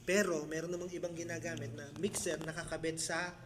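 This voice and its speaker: A man's voice: fairly high pitch, level tone, a little fast, a crowd in the background. A man with a Filipino accent informally explaining sound mixing, hands-on, as an instructor. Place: indoor group seminar. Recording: room with people chattering.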